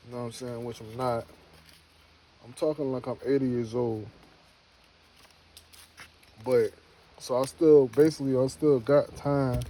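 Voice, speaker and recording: A man's voice: medium pitch, warm tone, poor audio. A man in his early thirties talking casually to camera, rambling and repeating himself, then giving an earnest motivational pep talk. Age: 30s. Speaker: homestead vlogger.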